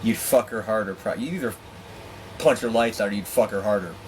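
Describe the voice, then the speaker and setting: A man with a slightly low voice tells a personal story in a frank, foul-mouthed style. He acts out dialogue in an animated, exasperated manner. There is faint room noise behind him. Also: a little fast, rough recording. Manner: animated acting-out of quoted dialogue, exasperated asides, heavy swearing. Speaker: storyteller and host. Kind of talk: candid, profane personal storytelling.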